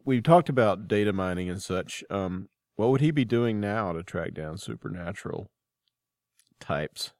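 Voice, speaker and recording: A man hosting a casual interview, speaking haltingly as he searches for his words. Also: lowish voice, no echo. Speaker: interview host.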